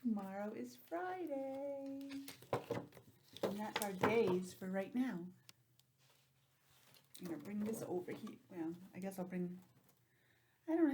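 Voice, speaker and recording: A woman's voice: medium pitch, noisy background. A woman teacher leading an interactive lesson for young children, asking questions and adding chatty asides, recorded at home on a laptop. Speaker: preschool or early grades teacher. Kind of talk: interactive calendar lesson for young children over video call. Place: indoors at home, speaking into a laptop.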